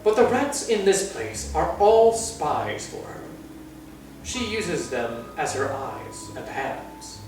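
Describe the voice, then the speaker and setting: A man's voice: fairly high pitch, sing-song, slow, a little mellow, soft background music, voice pushed up. Casting: man to play a smug, silky cat from a children's tale, cruelly playful and unimpressed; distant, very roomy sound. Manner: smooth, conversational, superior. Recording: very distant, echoey room.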